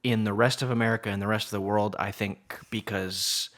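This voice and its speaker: A man with a fairly low but very bright, forceful voice joins a casual podcast discussion. He feels his way through his points, a little hesitant as he thinks aloud. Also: fairly even intonation, fairly quick. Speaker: podcast panellist.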